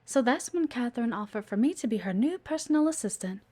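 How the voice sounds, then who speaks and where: A woman's voice: a little high, animated, fast, warm tone, slight background noise, natural voice. A woman playing a playful, teasing, observant executive assistant in an intimate one-sided audio roleplay. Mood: fond, reflective. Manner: coy, teasing, chatty, with murmured asides.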